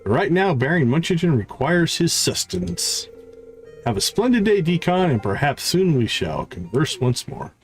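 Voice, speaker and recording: A man's voice: fairly high pitch, sing-song, normal speed, soft background music, voice pushed up. A man voicing a prim, fussy, well-bred lady florist from a video game, with formal, old-fashioned and haughty phrasing. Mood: politely proper.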